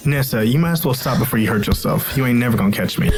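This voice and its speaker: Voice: mid-range voice, expressive, racing, over music, lightly acted. An actor playing a flippant, teasing little brother in an audio drama, slangy and smart-alecky. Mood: cocky teasing.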